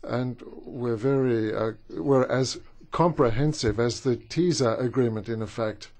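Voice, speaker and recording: A man with a strong Austrian German accent, a native German speaker, speaking haltingly as a trade union representative at a conference. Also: medium pitch, a little mellow. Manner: halting, with many fillers and restarts. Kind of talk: conference speech.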